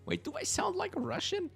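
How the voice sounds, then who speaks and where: A man's voice: very high-pitched, creaky, fairly bright tone. A young man with a German accent, casually chatting and chuckling to himself while he plays a game and answers his chat. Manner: rambling, chuckling, self-talk while playing. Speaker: gaming streamer. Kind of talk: casual let's-play commentary, reading chat.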